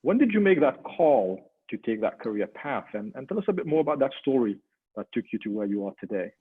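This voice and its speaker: A man's voice: medium pitch, sing-song, fairly quick, husky. Man moderating a panel over a tinny line. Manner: conversational, many ums, warm personal asides. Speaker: webinar moderator. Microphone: video call. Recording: tinny phone-like audio.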